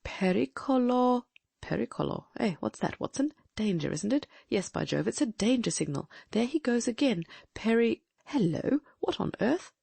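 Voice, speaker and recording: A woman voicing a keen, commanding male detective with a brisk, inquisitive, exclamatory manner in an audiobook. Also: fairly high pitch, very animated, gravelly, warm tone, slightly rough, dry recording, full character voice. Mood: urgent.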